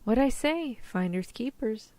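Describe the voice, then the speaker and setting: A woman's voice: fairly high pitch, lively intonation, measured pace, darkish tone, no room sound. A woman playing a tough, protective, easygoing rescuer in an ASMR roleplay, talking in casual slang. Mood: menacing, possessive. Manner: casual slangy reassurance, turning threatening.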